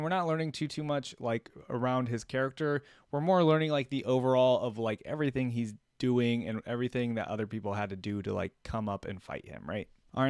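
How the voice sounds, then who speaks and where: A man's voice: mid-range voice, smooth, no echo, clean studio sound. A man hosting a reaction video, commenting casually and musing aloud in questions. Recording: music playing behind the voice.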